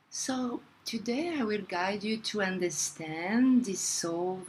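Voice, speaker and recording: Woman, guided meditation, extremely unhurried, soothing, long pauses, slightly low pitch, ambient background noise. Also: low-quality recording.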